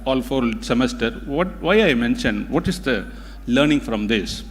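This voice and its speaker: The man you would hear at a community event, urging the audience on in deliberate, repeated phrases, his native Tamil Indian accent ringing through a big echoing hall. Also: mid-range voice. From India (Tamil-speaking). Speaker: guest speaker at a community event. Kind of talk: motivational public speech. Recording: hall reverb from a large room.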